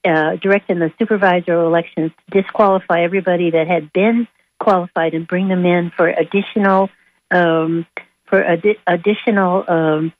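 A woman calling in to a radio show over the phone, speaking off the cuff in a halting, hesitant way. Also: on the low side, fairly even intonation. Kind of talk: unscripted call-in comment. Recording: tinny narrow phone line.

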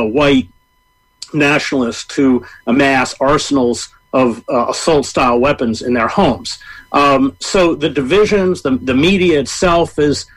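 A middle-aged man answering an interviewer at length in a voice of ordinary pitch. He talks in a measured way and stops often on fillers as he builds his points. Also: fairly bright tone, poor audio. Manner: measured, frequent hesitations. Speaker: interview guest, journalist. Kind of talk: interview answer, political commentary.

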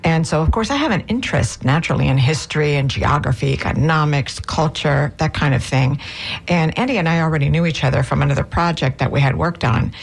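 Cuban-born lady professor, kinda husky, low voice, explains steady. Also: brisk. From Cuba. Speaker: professor, co-author. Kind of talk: casual interview talk.

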